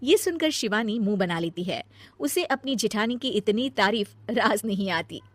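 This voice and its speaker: A woman's voice: medium pitch, animated, normal speed, dry recording. A woman storyteller reading scripted narration of a comic family story.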